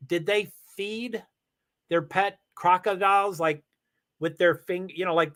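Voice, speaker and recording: Chatty, animated man with a higher voice. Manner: animated, chatty, rambling with restarts and exclamations. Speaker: live streamer reading chat. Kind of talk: casual live-stream chat. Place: home in Washington State.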